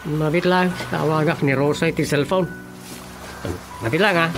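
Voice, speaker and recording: An actor with an Ilocano Filipino accent playing an excitable, suspicious, grumbling village man in a radio drama comedy. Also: a little high, normal speed, over loud music, natural voice, rough recording. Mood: wary, joking.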